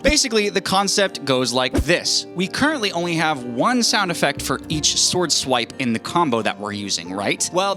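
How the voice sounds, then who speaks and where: A man in his thirties narrating a video in a jokey, self-deprecating way, with casual asides, close on a handheld mic. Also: medium pitch. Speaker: YouTube host, game sound designer. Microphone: handheld microphone held near the mouth. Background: faint music.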